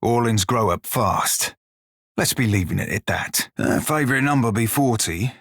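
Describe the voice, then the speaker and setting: An actor playing a cocky, bawdy furry in a video game, with a sly, wry, drawling manner. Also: male voice, medium pitch, animated, moderate pace, a bit bright, no room sound, clean studio sound. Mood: wry, plainspoken. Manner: salty, drawling pirate dialect, sly and wry. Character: bawdy, roguish furry orlan pirate shiphunter, loyal and cocky. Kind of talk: video game character dialogue.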